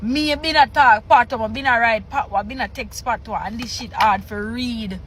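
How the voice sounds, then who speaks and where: A woman's voice: fairly high pitch, brisk, a bit bright, a little hiss or hum. A Jamaican woman reacting to a text and reading it aloud, incredulous and laughing, repeating herself for emphasis. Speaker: reaction video host.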